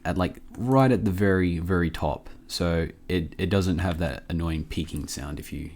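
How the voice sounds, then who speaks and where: Man, low pitch, under the weather, casual tutorial presenter, halting, low-key, close microphone. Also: a little mellow, slight background noise. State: sick with a cough. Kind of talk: casual screen-recorded software tutorial.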